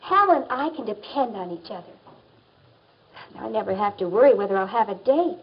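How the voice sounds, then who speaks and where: A woman's voice: mid-range voice, animated, normal speed, natural voice. An American woman acting as an assured teenage girl confidently advising her friend in a 1950s educational film. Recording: dull, muffled 1950s film soundtrack.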